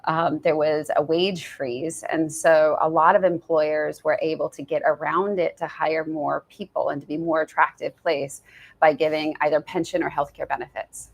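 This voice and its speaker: Soft-spoken woman with a gravelly low voice. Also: steady tone, moderate pace. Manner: soft-spoken, thoughtful, many restarts. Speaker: author guest. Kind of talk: live author talk. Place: event venue with audience.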